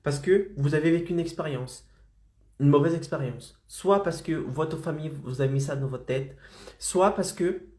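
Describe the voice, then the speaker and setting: A man's voice: a little high, moderate pace, mellow, roomy sound. A man with a slight head cold, coaching freelancers in a motivational live stream and keeping his audience engaged with frequent check-ins. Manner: insistent, keeps checking in with "d'accord?" and "ok?".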